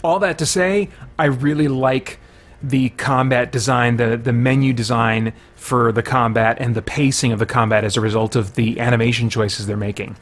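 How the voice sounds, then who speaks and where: A man's voice: mid-range voice, steady tone, normal speed. A man giving casual first-impressions commentary on a video game, conversational and thinking aloud as he goes.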